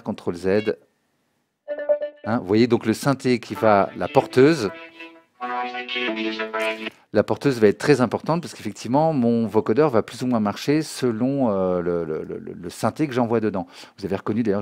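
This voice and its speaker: A man giving an informal spoken tutorial as a music software trainer, explaining as he demonstrates and often checking that listeners follow. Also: lowish voice, normal speed. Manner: conversational, checks understanding often with short tag questions. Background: music and synth demo sounds.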